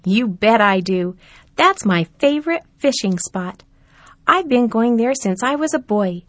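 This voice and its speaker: A woman voicing a mild, forgetful old grandfather in a storybook, speaking slowly and musing. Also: fairly high pitch, animated, no room sound.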